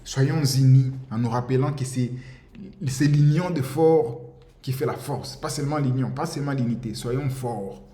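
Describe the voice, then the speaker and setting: A man's voice: medium pitch, normal speed, warm tone. Passionate man in a roomy space. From DR Congo. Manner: passionate, insistent, repeats himself, stumbles over words. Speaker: commentator. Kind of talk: impassioned monologue to camera. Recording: roomy echo with faint ambience.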